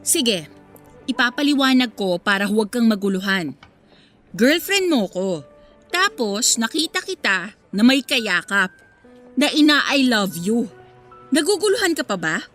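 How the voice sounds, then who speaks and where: Radio drama role: betrayed young woman, high-pitched, biting sarcasm, soft music behind. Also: sing-song, unhurried, long pauses. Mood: coldly sarcastic. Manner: sarcastic, cutting. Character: hurt, sarcastic young woman confronting cheating boyfriend. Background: faint background music.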